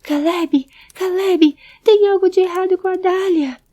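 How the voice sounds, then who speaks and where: A woman's voice: very high voice, measured pace, dark tone, pitched above the performer's own voice. A woman voicing an anxious, pleading young male companion in an audio drama. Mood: alarmed. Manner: urgent, distressed, pleading. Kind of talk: audio drama character acting.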